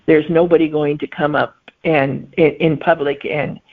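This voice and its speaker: A middle-aged woman, a guest author, answering interview questions in a thoughtful way and pausing to find her words. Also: deep voice, steady tone. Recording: phone-like remote line.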